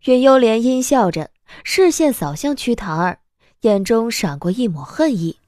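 A woman's voice: on the high side, expressive, moderate pace, no echo, clean studio sound. A woman narrator reading a story in a dramatic audiobook style. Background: soft background music.

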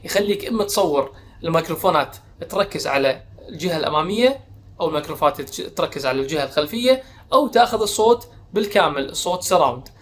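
A man's voice: a little high, expressive, rapid-fire, a bit bright, slight background noise. A young man with a Kuwaiti Arabic accent, reviewing a phone and explaining its features straight to viewers, seated indoors close to the camera. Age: young adult. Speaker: tech reviewer. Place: small indoor room, seated at a desk.

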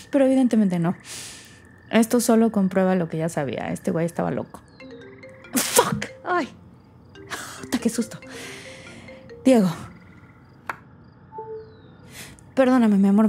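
A woman's voice: a little high, dark tone, husky. A woman playing an anxious, defensive daughter in a naturalistic audio drama, with a Mexican accent and a colloquial, hesitant, emotional delivery. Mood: startled, rattled. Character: anxious, defensive daughter unravelling family secrets.

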